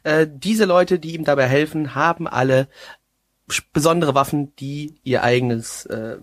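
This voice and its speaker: A man hosting a casual anime review chat, talking in a halting, joking, self-mocking way. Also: on the high side. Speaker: host of an anime review show.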